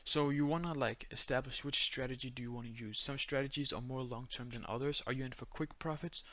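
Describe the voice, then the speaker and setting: A young man with a Danish accent giving a step-by-step screen-recorded tutorial in a flat, monotone voice full of "uh"s and "um"s. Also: medium pitch, brisk, muffled recording. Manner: monotone, many fillers. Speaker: tutorial creator.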